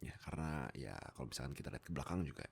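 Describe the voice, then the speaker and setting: A young man, chatting casually and playfully to viewers on a close mic, often pausing and interrupting himself. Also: a bass voice, animated, gravelly, slightly rough. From Indonesia. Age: young adult. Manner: playful, self-interrupting, hesitant pauses, jokey asides. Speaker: YouTube content creator and voice actor. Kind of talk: casual rambling chat to viewers. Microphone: close desktop microphone.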